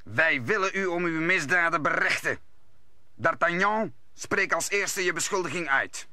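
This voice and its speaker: A forceful, stern musketeer. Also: male voice, a little high, unhurried, dry recording, studio quality. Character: stern, commanding musketeer nobleman. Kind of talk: old Dutch radio play acting.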